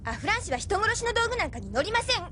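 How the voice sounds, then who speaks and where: A woman voicing a protective, insistent young girlfriend in an audio drama, shrill in manner. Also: very animated, quick pace, pushed and bright, slight background noise, heavily acted. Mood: indignant, protective. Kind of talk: Japanese audio drama acting.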